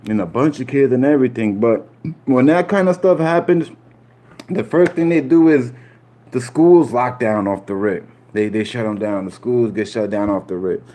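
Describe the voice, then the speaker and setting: A man's voice: mid-range voice, mellow. A man from Puerto Rico chatting loosely with his live audience in street slang, repeating himself and tossing in "you feel me" asides. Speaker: streamer talking to live chat. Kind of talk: casual rambling commentary.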